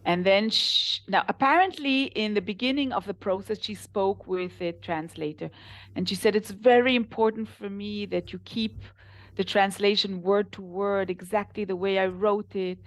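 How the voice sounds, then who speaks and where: Israeli woman, business founder guest, animated storyteller, mid pitch. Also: normal speed, no echo. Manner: animated storytelling, laughs while talking. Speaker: translation company founder, interview guest. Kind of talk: casual interview conversation.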